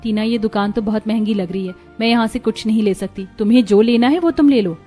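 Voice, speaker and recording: A woman acts as a modest young girl from a poor cartoon family. She is polite and reserved, her voice fairly high, with music underneath. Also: fast talker, warm tone, natural voice. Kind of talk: cartoon story dialogue.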